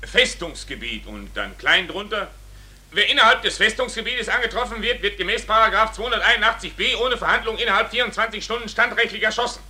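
An actor playing a brisk, strict army sergeant on guard in a 1940s German radio play, curt and by-the-book. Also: male voice, sits high, lively intonation, bright, forceful tone, exaggerated voice. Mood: officious.

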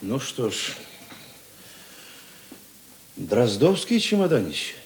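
An actor playing a seasoned police investigator in a radio play, calm, unhurried and gently ironic. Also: male voice, mid-range voice, heavy background noise, exaggerated voice. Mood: curious, deliberate. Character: seasoned male police investigator chief, calm, wry, fatherly. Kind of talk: Russian radio drama acting. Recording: echoey room.

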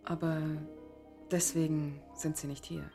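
A woman with a slightly low voice, playing a guarded newcomer to the countryside in a radio drama. She starts hesitantly, then turns pointed and teasing. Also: level tone, measured pace, gravelly, mellow, soft background music. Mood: uneasy, defensive. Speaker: illustrator. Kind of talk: German radio drama acting.

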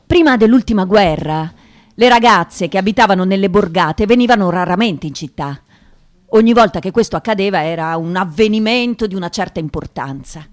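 A thoughtful woman journalist narrates a radio drama, played by an actress. Her voice sits at an average pitch for a woman, and she tells the story in a measured, scripted way. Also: close to an everyday voice.

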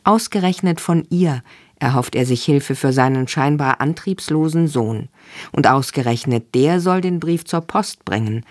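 A radio presenter delivers a scripted introduction. The delivery is measured and factual, at a medium pitch, with a very dry studio sound. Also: no echo. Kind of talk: scripted narration.

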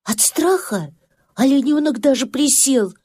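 A woman narrating a children's story as an expressive storyteller, with deliberate pauses. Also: high voice, husky. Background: music.

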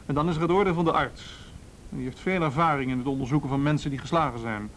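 An actor in a radio play playing a firm, patient policeman, measured and matter-of-fact. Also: on the high side, fairly bright tone, natural voice. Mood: stern, insistent. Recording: faintly noisy radio drama recording.

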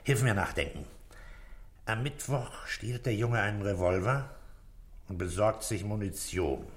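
An actor playing a brisk, methodical French police commissioner in a German radio crime drama, terse and businesslike. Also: male voice, fairly low pitch, lightly acted. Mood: pensive, measured. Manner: terse, businesslike, thinking aloud.

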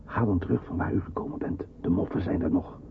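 An actor playing a cautious stranger giving hushed, urgent warnings in a wartime radio play. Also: male voice, deep voice, fast talker, gravelly. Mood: urgent, secretive. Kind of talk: radio drama acting. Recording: noisy, phone-like old radio, street sounds.

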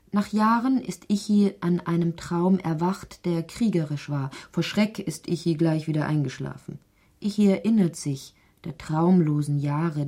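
Husky low-voiced woman brooding narrator. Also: warm tone, no room sound, studio quality. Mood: dreamy, measured. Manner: measured, introspective delivery, sometimes sinking into whispers. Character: brooding literary narrator of a dreamlike inner tale. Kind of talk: literary radio drama narration.

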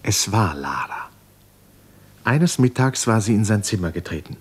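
Low-pitched, grave male narrator. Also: very animated, a little slow, slightly rough. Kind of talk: scripted literary narration, 1950s German radio drama.

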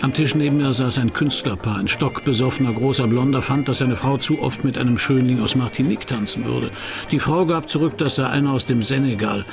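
An actor playing a wry, probing private detective who narrates and questions in a German radio crime drama, dry and laconic, with a gravelly voice. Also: male voice, medium pitch, brisk, music in the background, close to an everyday voice. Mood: narrating, wry. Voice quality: gravelly, creaky.

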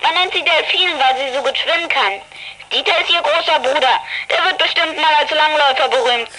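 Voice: high-pitched, brisk, bright, forceful tone, poor audio. A young performer playing a chubby, cheerful schoolboy in a children's radio play, chattily reporting over a walkie-talkie. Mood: amused chatty.

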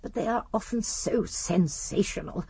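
An actress playing a very old, genteel English lady detective in a radio drama, politely deferential yet gently persistent with pointed questions. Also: medium pitch, normal speed, gravelly, breathy edge, no room sound. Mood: politely probing. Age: older.

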